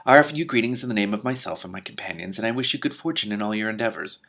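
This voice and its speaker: A courteous young storybook adventurer, voiced by a man. He speaks carefully and formally, anxious to please, in a husky and animated voice. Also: lowish voice, racing, full character voice.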